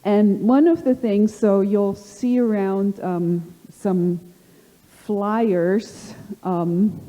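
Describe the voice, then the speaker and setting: A middle-aged woman with a slight German accent hosting a live panel, halting and full of fillers. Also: fairly low pitch, dark tone. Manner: halting, many fillers and restarts. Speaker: panel host. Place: conference hall. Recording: long hall reverb.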